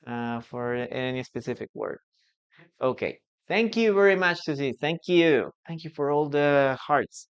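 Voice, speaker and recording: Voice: medium pitch, clear, resonant tone, highs cut off. A native Spanish-speaking English teacher from Peru with a Peruvian accent, leading a live online class and patiently repeating key phrases.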